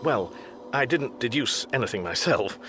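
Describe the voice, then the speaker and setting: A man's voice: mid-range voice, lively intonation, normal speed, music in the background, close to an everyday voice. An actor playing an anxious, bewildered Englishman adrift in space in a radio comedy, dryly and politely exasperated, with an English accent. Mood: awkwardly polite. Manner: flustered, dry, politely exasperated. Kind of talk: radio comedy drama acting.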